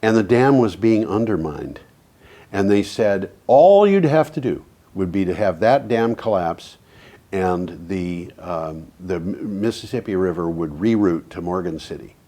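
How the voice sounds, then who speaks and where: Low-voiced man in a rambling talk. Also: lively intonation, measured pace. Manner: rambling, with frequent restarts and trailing off quietly. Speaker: co-host of an energy talk show. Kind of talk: casual studio chat.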